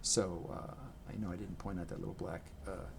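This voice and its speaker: Man, low gravelly voice, chatty home vlog, rambling, faint room hiss. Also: flat delivery, fairly quick. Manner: rambling, self-correcting, chatty. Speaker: video creator. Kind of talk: casual rambling vlog talk. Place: indoors at home. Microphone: camera mic.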